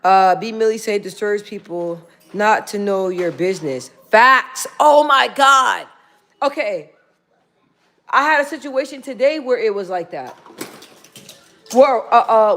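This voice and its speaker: A woman's voice: a little high, a bit bright. A woman chatting casually on a livestream, reading out viewer comments and talking aside to people in the room, rambling and laughing often. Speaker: livestream host.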